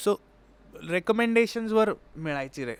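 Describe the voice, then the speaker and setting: Man, smooth clear voice, fairly high pitch, conversational, explanatory, English words mixed in. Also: lively intonation, unhurried. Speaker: interview guest, sitar player. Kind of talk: conversational interview storytelling.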